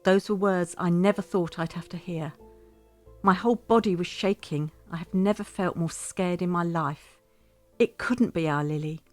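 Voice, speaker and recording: A woman's voice: on the low side, moderate pace, darkish tone, faint music behind, close to an everyday voice. A woman playing a grieving, bitter widowed mother, telling her story in a first-person audio drama monologue. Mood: anguished.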